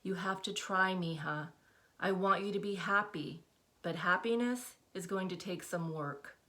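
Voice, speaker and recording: A woman's voice: fairly low pitch, unhurried, sharp tone. A woman voicing an exasperated, protective middle-aged mother who scolds sharply and with rising emphasis. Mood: tender.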